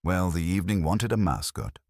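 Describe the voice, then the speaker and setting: A man's voice: low-pitched, lively intonation, a little fast, a little mellow, no echo, clean studio sound. A man voicing a bitter, hard-drinking man in his thirties in an audiobook, curt and sarcastic. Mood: bitter, defensive.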